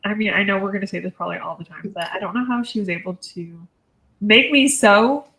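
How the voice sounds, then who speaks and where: A woman discussing books casually, in a heartfelt, reflective way with halting phrasing. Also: mid-range voice, gravelly, a bit bright. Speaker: book discussion panelist. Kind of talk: casual book chat.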